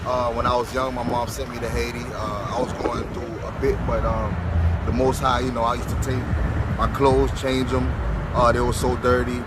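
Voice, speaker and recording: A man in his thirties talks haltingly outdoors, filling his answers with soft fillers and restarts at a middling pitch. Loud city traffic roars close behind him the whole time. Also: little pitch movement. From Florida. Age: 30s. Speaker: interviewee. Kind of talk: street interview answers.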